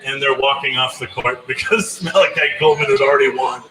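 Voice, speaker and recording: A man's voice: medium pitch, steady tone, fairly quick. The co-host at a noisy live sports broadcast, a guy raised in Southern California spinning casual anecdotes between guests. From born abroad in Germany, grew up in Southern California. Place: live broadcast from a grill restaurant. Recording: noisy live venue ambience.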